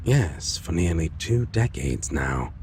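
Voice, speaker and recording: A man playing a brooding, world-weary vampire lover in a dark fantasy audio roleplay, speaking intimately in halting, old-fashioned phrases with a low, breathy, gravelly voice. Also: sing-song, a little hiss or hum, heavily acted. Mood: rueful, confessional.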